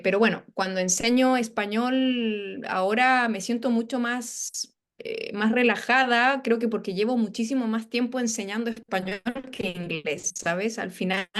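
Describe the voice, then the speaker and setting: A woman from Chile with a soft Chilean Spanish accent, chatting as a language teacher on a video call, often tagging her sentences with questions. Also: medium pitch. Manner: relaxed, chatty, many tag questions. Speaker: Spanish and English teacher, interview guest. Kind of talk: casual interview over video call.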